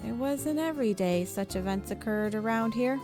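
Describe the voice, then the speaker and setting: A woman's voice: on the high side, lively intonation, moderate pace. A woman streaming a visual novel, reading its narration aloud expressively and sometimes correcting herself mid-sentence. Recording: background music under the voice. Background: game music.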